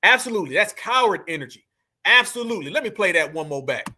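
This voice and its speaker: A man with a high, bright, forceful voice delivers reaction commentary. He talks straight to his viewers, fired up and hammering his points home. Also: big pitch swings, quick pace. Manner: fired-up, addresses audience directly as "fam" and "y'all". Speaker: online commentator.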